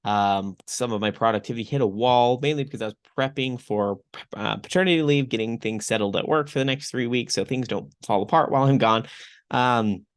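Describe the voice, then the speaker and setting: A man's voice: mid-range voice, a little fast, clean tone. A young man hosting a podcast, talking casually and rambling a bit, his voice a little off from a recent throat infection. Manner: chatty, rambling with fillers. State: recovering from strep throat. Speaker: podcast host.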